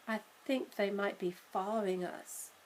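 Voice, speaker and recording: A woman's voice: mid-range voice, steady tone, a little slow, close to an everyday voice, rough recording. A woman voicing a cautious, practical young boy in a storybook, with a questioning, hesitant manner. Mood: wary, puzzled.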